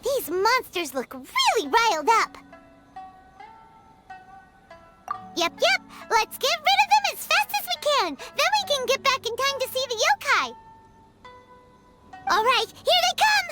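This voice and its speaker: A tiny fairy sidekick from an anime game, extremely high and squeaky. The delivery is perky and childlike, bouncing up and down in pitch. Loud music plays behind it. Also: female voice, moderate pace, exaggerated voice. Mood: excited. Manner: excitable, squeaky, cutesy. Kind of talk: scripted anime game voice acting.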